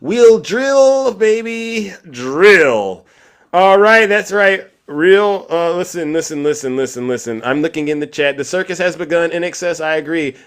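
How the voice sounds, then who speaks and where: A man hosting a live call-in stream, talking in loud, hype-man banter that repeats itself. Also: fairly high pitch, animated, measured pace, clean tone. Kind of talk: casual call-in chat.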